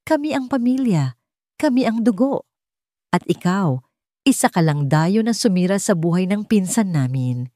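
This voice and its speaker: A woman's voice: medium pitch, expressive, normal speed, dark tone, no echo, lightly acted. A woman narrator voicing a big, hostile, thuggish man in an audiobook drama. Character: big thuggish male cousin, hostile and possessive.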